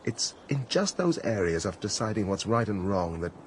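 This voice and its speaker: A man's voice: fairly low pitch, sing-song, moderate pace, slight background noise. A man with a British accent playing a disillusioned, cynical prince in a radio drama, well-spoken, weary and clipped in manner. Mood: bitter, sceptical. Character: disillusioned, cynical Russian prince, a brooding landowner.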